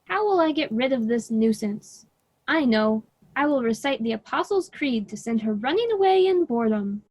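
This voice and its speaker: A woman's voice: fairly high pitch, lively intonation, normal speed, darkish tone. A woman playing a vain, melodramatic young man of myth in a radio drama, declaiming each line. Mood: exasperated.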